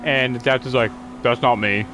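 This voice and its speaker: A man's voice: medium pitch, fairly even intonation, normal speed, noisy background. A man hosting a casual talk, retelling grievances in an aggrieved, repetitive way. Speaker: channel host. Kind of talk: casual complaint chat.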